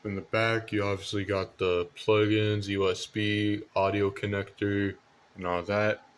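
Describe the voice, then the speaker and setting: A man's voice: fairly low pitch, little pitch movement, unhurried, a little hiss or hum. A young man giving a casual show-and-tell vlog about his collection, hesitant and rambling with repeated phrases. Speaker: collector presenting weather radios. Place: indoors at home at night.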